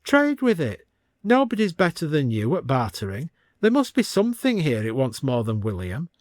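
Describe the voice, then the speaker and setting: A man's voice: a little high, wildly swooping pitch, normal speed, a little mellow, no room sound, studio quality. A man voicing a wry village shopkeeper with a persuasive manner in a story reading. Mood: urgent.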